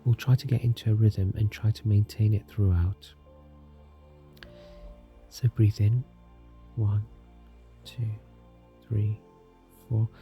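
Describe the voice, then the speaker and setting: Man, meditation guide, even and low-key delivery, creaky fry in the voice, soothing, gentle, long pauses. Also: dull audio. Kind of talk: guided meditation, soft and soothing scripted narration. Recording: music behind the voice. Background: soft music.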